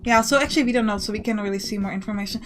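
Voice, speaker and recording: A woman's voice: mid-range voice, rapid-fire. A woman giving casual let's play commentary, explaining a game as she plays it.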